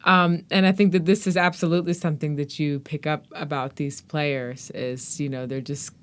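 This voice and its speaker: A woman's voice: deep voice, steady tone, normal speed. A woman hosting a podcast interview in a conversational, articulate and reflective way.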